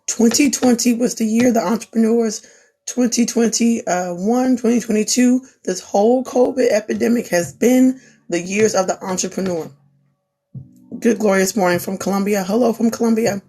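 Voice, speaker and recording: A woman's voice: on the high side, normal speed, dark tone, slightly rough, faint music behind. A young woman who is losing her voice gives an earnest, repetitive pep talk on a live stream. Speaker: small business owner, crafter. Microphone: phone.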